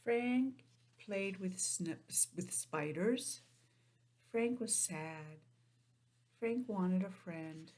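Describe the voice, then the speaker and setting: A woman teacher reading a picture book aloud to young children, stopping for simple, chatty explanations in an animated manner. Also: mid-range voice, extremely slow. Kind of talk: storybook read-aloud with chatty asides.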